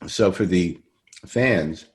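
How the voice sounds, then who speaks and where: A man hosting a casual, chatty interview in an effusive way, repeating phrases for emphasis, with a gravelly voice. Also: sits low, slow, darkish tone. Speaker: interview host.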